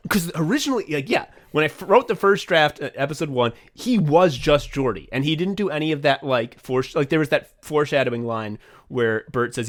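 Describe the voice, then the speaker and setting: A young man chatting casually as a podcast co-host, rambling excitedly and swearing often as he walks through his own thinking. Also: medium pitch. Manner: rambling, profane, excitable, acts out his own thought process. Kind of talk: casual behind-the-scenes chat.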